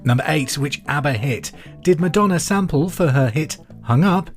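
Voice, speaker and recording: A man hosting a pub quiz podcast, reading questions and answers in a clear, deliberate way with casual asides. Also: on the high side, lively intonation. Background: music under the voice.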